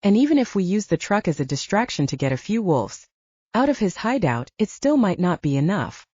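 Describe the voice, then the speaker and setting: A woman's voice: mid-range voice, big pitch swings, brisk, a little mellow, husky, no echo, muffled recording. A woman voicing an earnest, stubborn young male werewolf hero in an audiobook. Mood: pleading. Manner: pleading, wry, sighing.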